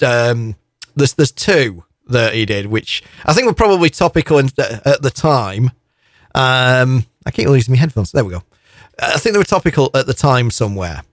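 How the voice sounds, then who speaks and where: A man's voice: mid-range voice, no echo, clean studio sound. Rambling radio DJ, man. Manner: rambling, with many restarts and asides. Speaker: radio DJ host. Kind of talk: casual on-air chat.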